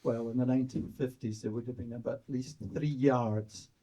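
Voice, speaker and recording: An older man from the audience asking a question in a slow, measured way. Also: medium pitch, steady tone, dark tone, low-quality recording. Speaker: audience member.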